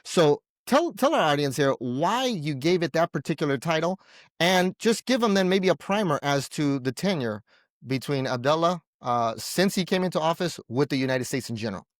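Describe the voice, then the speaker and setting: A man with a somewhat high voice speaks as the host of an interview show. His delivery is conversational and a little rambling, with wordy phrasing. The sound is dry, with very little room in it. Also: lively intonation, normal speed, no room sound.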